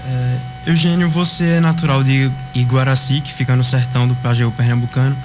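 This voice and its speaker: A man's voice: medium pitch, little pitch movement, fairly quick, background noise. A man co-hosting a student radio show, speaking in an upbeat, announcer-like way. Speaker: radio co-host. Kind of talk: radio presenting. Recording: radio with loud music bed.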